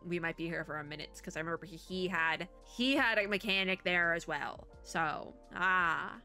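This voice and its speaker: A woman with a bright, forward voice talks casually through a game reaction. She is animated and rambling, thinking out loud as she switches between reading and commenting. Music plays behind her voice. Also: lowish voice, moderate pace. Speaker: VTuber reaction video host.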